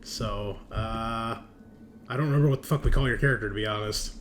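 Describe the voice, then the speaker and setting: A man's voice: lowish voice, brisk, a little hiss or hum. A man hosting a tabletop game podcast, bantering casually with players in a laid-back, sweary way. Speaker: host and game master of a tabletop RPG podcast.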